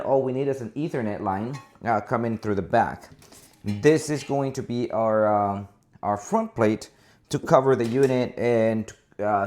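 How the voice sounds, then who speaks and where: A man's voice: mid-range voice, a little mellow. A man working as an installer gives a casual, unscripted product walkthrough, hesitating and often correcting himself as he repeats words. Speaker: installer presenting a product demonstration. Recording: noisy, with clicks and handling clatter.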